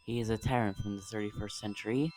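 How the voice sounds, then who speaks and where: A man's voice: fairly low pitch, fairly even intonation, fast, darkish tone, lightly acted. A man reading the part of a kind, supportive, plain-spoken young woman in a dramatic reading. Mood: friendly, helpful. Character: kind young woman who grew up poor. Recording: music behind voice. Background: music.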